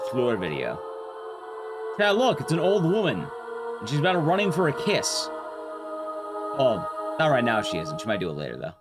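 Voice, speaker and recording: A man's voice: medium pitch, huge pitch swings, normal speed, long pauses. A man streaming a game, giving casual, rambling commentary to his chat with playful asides. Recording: loud music under the voice. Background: game and stream music.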